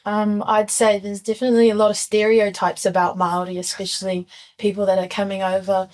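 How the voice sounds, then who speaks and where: A young woman from New Zealand speaks earnestly and thoughtfully. She answers interview questions in a soft, even voice with little rise and fall. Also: medium pitch, brisk. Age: young adult. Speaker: youth guest.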